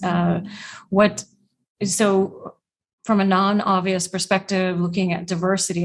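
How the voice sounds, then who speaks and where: A woman hosting an interview podcast, upbeat and casual, with frequent fillers. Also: lowish voice. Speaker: podcast host.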